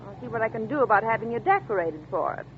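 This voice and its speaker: An actress in a 1940s radio drama playing a sharp-tongued, sarcastic wife, on a tinny old broadcast recording. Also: female voice, fairly high pitch, lively intonation, very fast, noisy background, lightly acted. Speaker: Lil, Cliff's wife.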